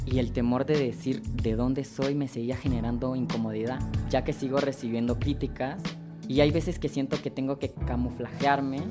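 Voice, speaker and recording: A man, calmly reading his own migration testimony. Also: medium pitch. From Honduras. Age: 20s. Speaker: featured migrant telling his story. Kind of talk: read personal testimony. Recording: music bed under the voice.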